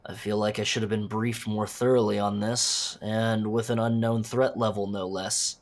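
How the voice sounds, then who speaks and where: A man's voice: fairly low pitch, little pitch movement, moderate pace, natural voice. A man playing a flustered, underprepared agent in an audio drama, hesitant and muttering as he conducts an interview. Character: anxious, underprepared male agent interviewing dangerous entities. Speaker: field interviewer. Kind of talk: scripted audio drama dialogue.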